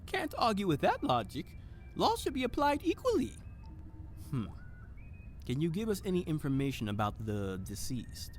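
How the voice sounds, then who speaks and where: A man playing a theatrical, flirtatious detective who narrates his own case in an audio drama, in a deliberate noir manner. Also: a little high, wildly swooping pitch, faint music behind. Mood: businesslike.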